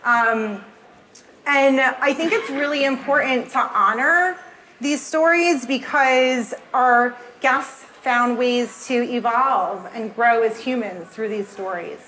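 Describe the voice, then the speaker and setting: A woman's voice: fairly high pitch, slow pace, pushed and bright, a little hiss or hum, rough recording. A woman hosting a live event interview, speaking earnestly and addressing the crowd. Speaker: host. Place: event space before a live audience.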